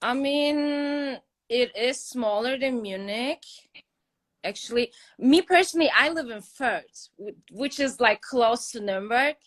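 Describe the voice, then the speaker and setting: A woman's voice: a little high, measured pace, fairly bright tone. Woman from Turkey, eager chatty caller, laughs easily. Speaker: caller on a live stream. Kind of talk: casual chat.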